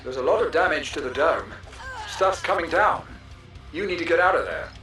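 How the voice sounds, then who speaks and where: An actor playing a shrewd, wry, plain-spoken bounty hunter ally in a video game. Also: male voice, on the high side, moderate pace, over music, natural voice. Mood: urgent.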